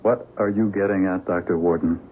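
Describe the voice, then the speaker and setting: An actor playing an impatient, no-nonsense police lieutenant in a radio mystery, brusque and colloquial. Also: male voice, low-pitched, steady tone, a little fast, close to an everyday voice. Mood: incredulous. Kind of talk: radio mystery drama acting. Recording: dull noisy radio broadcast recording.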